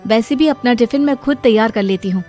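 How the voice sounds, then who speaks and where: Woman voicing schoolteacher in her thirties, defensive, exasperated, high pitch. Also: brisk, dark tone, faint music behind, close to an everyday voice. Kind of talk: cartoon voice acting.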